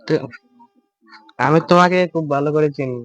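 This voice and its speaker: A man's voice: a little high, normal speed, no echo, lo-fi sound, soft background music. A young man making a casual prank call, teasing and evasive as he repeats himself. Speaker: prank caller and video creator. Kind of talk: casual prank phone chat.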